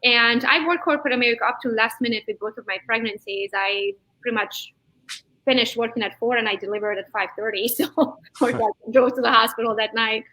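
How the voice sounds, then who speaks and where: A woman around forty with a bright, fairly high voice, Hungarian by first language and raised in Transylvania. She tells stories in a lively way and laughs often as an interview guest. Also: brisk, low-quality recording. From Transylvania, Romania. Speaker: real estate agent guest.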